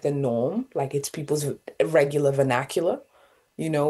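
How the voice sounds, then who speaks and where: A woman's voice: deep voice, dark tone. A young woman from Sudan whose first language is Arabic, speaking thoughtfully as an interview guest, with many fillers and restarts. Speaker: guest poet and artist. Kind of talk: reflective interview talk.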